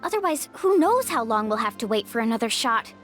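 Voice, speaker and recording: A woman's voice: a little high, very animated, a little fast. A woman voicing a confident, upbeat young female guide in a video game, who speaks firmly and with conviction. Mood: determined. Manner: bright, confident, firm. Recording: game audio with faint music.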